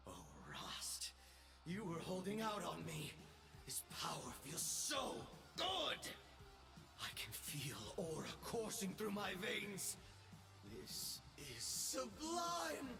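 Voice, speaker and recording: An actor playing a smug, power-hungry young assassin emperor in a video game, grandiose and theatrical. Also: male voice, sits high, wildly swooping pitch, slow, creaky, over music, exaggerated voice. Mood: ecstatic grandiose. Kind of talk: video game character voice lines.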